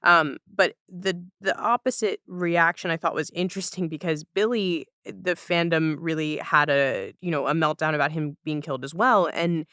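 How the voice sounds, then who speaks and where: A podcast host speaks in a bright and very clear voice. The delivery is casual and conversational, hesitating while working through a thought. The recording is tight and dry. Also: medium pitch, moderate pace, few pauses, no echo. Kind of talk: casual interview chat.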